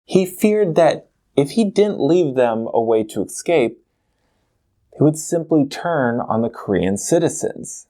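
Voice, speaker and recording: A man's voice: medium pitch, warm tone. A man narrating a history documentary series in a conversational way, speaking directly to the viewers.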